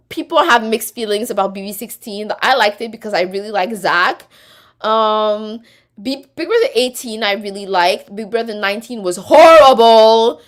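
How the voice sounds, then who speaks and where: A woman from Quebec, whose first language is French, hosts a casual live stream. She reads viewer comments aloud and chats back in a relaxed, talkative way, her voice at an ordinary middle pitch. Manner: chatty, reads comments aloud and answers them.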